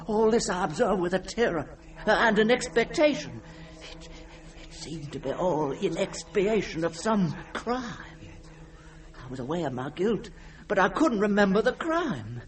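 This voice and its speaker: Slow, haunted stammering man. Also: sits high, slight background noise. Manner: halting, stammering, theatrical delivery with repeated words. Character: haunted, guilt-ridden man recounting his nightmare in terror. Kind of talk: old-time radio mystery drama monologue. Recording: old radio drama with music underneath.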